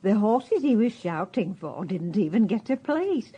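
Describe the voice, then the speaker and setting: An actress playing a gentle, forgetful, anxious elderly woman in a radio crime drama. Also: medium pitch, big pitch swings, measured pace, slightly rough, highs cut off. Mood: hesitant, anxious. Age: older.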